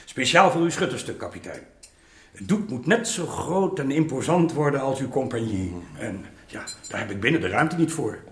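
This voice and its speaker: A man acting a proud, bossy old-Amsterdam master painter in a radio drama, commanding and theatrical, smooth-talking with clients. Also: medium pitch, big pitch swings, moderate pace, fairly bright tone. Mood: proud, persuasive. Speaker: actor. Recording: roomy radio play studio sound.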